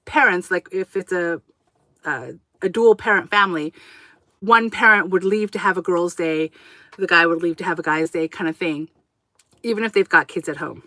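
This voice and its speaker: Woman, japanese was her first language, rambly self-correcting livestream chat from her rv, bright tone. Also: lowish voice, normal speed, no room sound. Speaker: livestream host. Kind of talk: casual livestream chat, reacting and reading comments. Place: inside her RV. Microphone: camera on a tripod.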